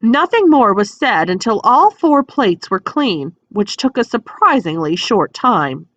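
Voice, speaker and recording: A woman narrating a children's story aloud in an expressive, scripted storybook style. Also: on the high side, unhurried, dry recording, lo-fi sound. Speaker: audiobook reader.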